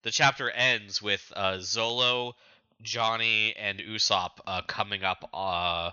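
Deep-voiced man, very bright and forceful. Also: fairly even intonation, slow pace, smooth, dry recording, muffled recording. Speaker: podcast co-host. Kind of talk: casual chat recapping manga chapters.

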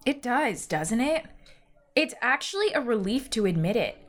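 A woman playing a hurt, proud girl just out of high school in a modern audio drama, sardonic and defensive. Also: very animated, moderate pace. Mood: hurt, weary.

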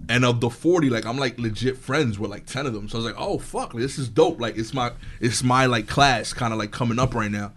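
Comedian dude just talking, rambly and hyped, tons of "like", normal pitch. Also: male voice, fairly quick, few pauses, a little hiss or hum. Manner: animated, rambling, many filler "likes". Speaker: stand-up comedian. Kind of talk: casual chat.

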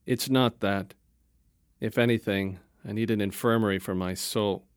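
A man's voice: lowish voice, steady tone, moderate pace, smooth, no echo, studio quality, close to an everyday voice. A man voicing a tired, guilt-ridden young monk who speaks in a weary, glum way.

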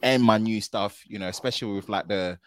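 A man from the United Kingdom, a tech video creator guesting on a podcast, talking in an animated, slangy way and often ending phrases with "man.". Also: fairly low pitch, a little fast. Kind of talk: casual podcast conversation.

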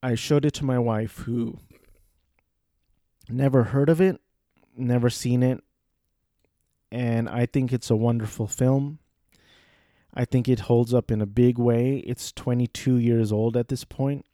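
A man's voice: mid-range voice, steady tone, unhurried, pauses often, warm tone, no room sound, studio quality. A man talking casually on his own, hesitant, with many fillers and restarts. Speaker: solo commentator. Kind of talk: casual rambling chat.

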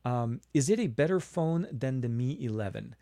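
A man's voice: medium pitch, expressive, normal speed, dark tone, no echo, clean studio sound. Chatty male tech host from Lebanon. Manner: chatty, answers viewer chat with fillers and restarts. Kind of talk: casual live Q&A.

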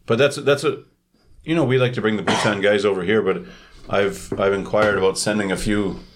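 A man's voice: fairly low pitch, fairly quick, background noise. A man hosting a casual podcast interview, asking questions informally and thinking aloud.

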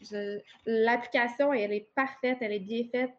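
Quebec woman guest on a podcast, chatty, slightly high voice, muffled audio. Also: fairly even intonation, normal speed. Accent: Quebec French. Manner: chatty, frequent fillers and restarts. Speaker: podcast guest, marketing director. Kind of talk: casual interview chat.

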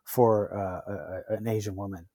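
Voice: low-pitched, fairly even intonation, moderate pace, mellow, dry recording, clean studio sound. An interviewer from Canada, chatting loosely and laughing through their own stories. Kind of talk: conversational interview.

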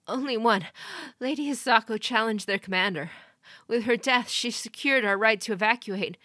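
A woman voicing an exhausted young woman warrior, hoarse and out of breath. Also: a little high, normal speed, bright, forceful tone, no echo, studio quality, pitched above the performer's own voice. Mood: breathless, distraught.

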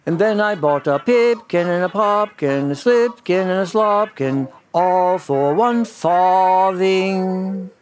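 A man's voice: slow pace, hardly any pauses, soft background music. A man voicing merry, teasing little storybook mice who chant nursery rhymes in a small, sing-song voice. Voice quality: small shrill twittering voice.

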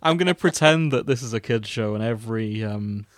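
A man's voice: on the low side, fairly quick, no echo, studio quality. A man hosting a comedy podcast, reading fan fiction aloud as narrator with casual banter, prone to breaking into giggles.

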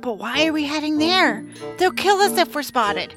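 A woman's voice: high voice, expressive, normal speed, over music. An actress playing a brash, loyal, teasing young female adventurer in a fantasy audio drama. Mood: curious. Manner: brash, plucky, slangy.

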